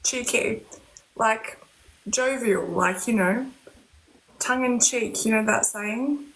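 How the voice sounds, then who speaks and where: A woman with an English accent chatting casually on a live stream, her voice hoarse and croaky, often saying "like" and "you know". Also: a little high, slow pace, fairly bright tone, poor audio. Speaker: medium.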